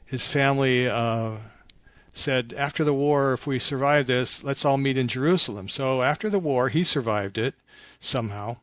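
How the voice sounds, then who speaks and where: A mature man speaks as an interviewed author, in a conversational storytelling style. His delivery is reflective and halting, with a medium-pitched voice recorded close and dry. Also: no room sound. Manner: halting and reflective, animated when acting out remembered dialogue. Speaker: podcast guest, author of fiction.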